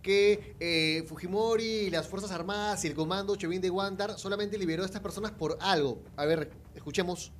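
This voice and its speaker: High-pitched slangy Peruvian male host. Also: a little fast, hardly any pauses, slight background noise. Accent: Peruvian Spanish. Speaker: political show host. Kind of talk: casual slangy political chat.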